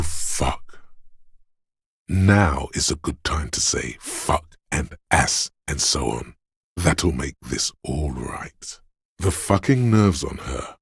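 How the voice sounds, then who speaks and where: The dark inner voice of raw nerves and fear, narrating a video game in the second person. The register is extremely low and unhurried, heavy with rasp and gravel. The delivery is ominous, brooding and deliberate, with a menacing, foul-mouthed edge. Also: male voice, dry recording, studio quality, exaggerated voice. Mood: alarmed, dread, then angry, commanding. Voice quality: very deep, gravelly, husky.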